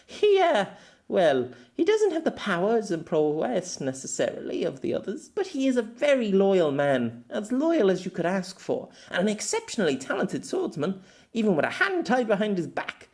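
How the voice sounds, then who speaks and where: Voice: mid-range voice, huge pitch swings, moderate pace, darkish tone, voice pushed up. A narrator voicing a spirited, imperious young warrior noblewoman with formal, old-fashioned and emphatic speech in an amateur audiobook. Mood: fond, proud. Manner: formal archaic phrasing, emphatic. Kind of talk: amateur audiobook character acting.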